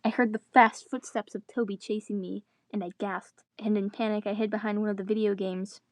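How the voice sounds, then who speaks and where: A woman's voice: mid-range voice, fairly even intonation, fairly quick, no echo. A woman reading a story aloud dramatically, with joking asides in her own voice. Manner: breaks character to groan and joke. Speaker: reader of fan fiction.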